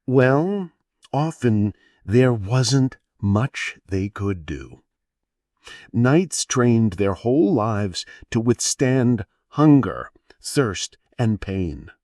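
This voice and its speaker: Slow, soothing male bedtime storyteller. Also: medium pitch, sing-song, dry recording, studio quality. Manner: gentle, soothing, storyteller's dramatic pauses and rhetorical questions. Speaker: narrator of sleep history stories. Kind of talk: scripted bedtime history narration.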